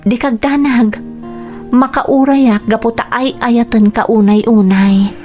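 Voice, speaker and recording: A woman's voice: a little high, fairly even intonation, takes it slowly, dark tone, soft background music. A woman playing a patient, devoted young sweetheart in a radio drama, affectionate and earnest. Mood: supportive, affectionate.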